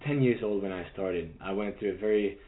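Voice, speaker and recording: Man, earnest, fillers and restarts, low voice, tinny phone line. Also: fairly quick. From Hungary. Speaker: guest, tennis coach and director. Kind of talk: interview answers, conversational.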